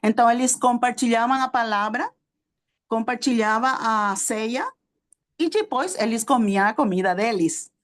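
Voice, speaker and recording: A woman with a Spanish accent, a native Spanish speaker, teaching a sermon to a small group, halting often with fillers and checking that her listeners follow. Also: a little high. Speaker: preacher and theology teacher.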